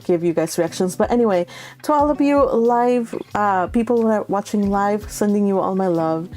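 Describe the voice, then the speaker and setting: A woman's voice: medium pitch, moderate pace, a little mellow, soft background music. A woman hosting a live read-along, chatting casually and affectionately with her viewers, giggly and informal. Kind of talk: casual livestream chat with viewers.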